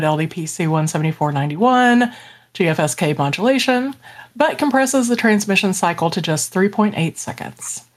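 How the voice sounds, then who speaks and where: Woman reading radio news items. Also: fairly low pitch. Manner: plain news reading, dry joking asides. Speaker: podcast co-host.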